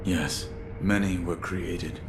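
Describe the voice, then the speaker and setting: A man playing a stoic, loyal shinobi warrior in a game cutscene, terse and restrained with a deadpan delivery. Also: deep voice, takes it slowly. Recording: clear ambient noise behind dialogue.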